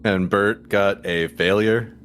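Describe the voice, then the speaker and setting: A man's voice: fairly low pitch, fairly even intonation, takes it slowly, fairly bright tone, a little hiss or hum. A man playing a tabletop roleplaying game, chatting casually with the others at the table.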